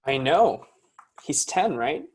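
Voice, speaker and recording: A patient young man who works with children, read by a man in a script table read. He asks questions calmly and gently. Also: on the high side, takes it slowly, husky, heavily acted.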